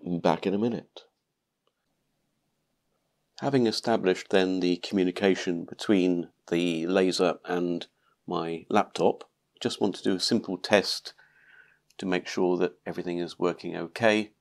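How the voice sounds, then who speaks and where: A man narrating a calm step-by-step tutorial, sounding nasal from a cold. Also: low-pitched, a little slow, creaky, pauses often. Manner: calm, methodical explaining. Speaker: maker channel host.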